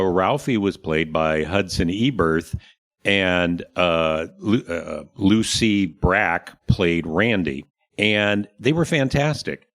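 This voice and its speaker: Deep-voiced man hosting a casual talk. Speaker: talk show host.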